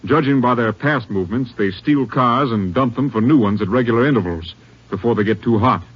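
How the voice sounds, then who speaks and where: An actor plays a commanding ranger captain, husky and medium in pitch. He gives orders with brisk authority, in a dull vintage western radio drama. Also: lightly acted. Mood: businesslike. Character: businesslike, commanding male Texas Ranger captain. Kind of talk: old-time radio acting. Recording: dull old radio recording.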